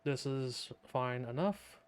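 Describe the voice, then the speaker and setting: A man giving casual, sarcastic live commentary as he plays a game, with a deadpan manner. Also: medium pitch, takes it slowly, smooth. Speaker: game streamer. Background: game music.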